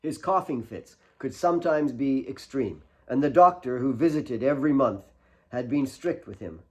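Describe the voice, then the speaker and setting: A man's voice: mid-range voice, lively intonation, moderate pace. A man narrates a novel he wrote himself. The delivery is scripted and dramatic, in the manner of an audiobook, with a mid-range voice. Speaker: author reading own novel.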